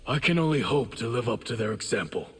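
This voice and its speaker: A man's voice: medium pitch, expressive, fast talker, slightly rough. A man playing a modest, respectful fighter in a fantasy video game. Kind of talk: video game dramatic acting. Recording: loud noise and clicks.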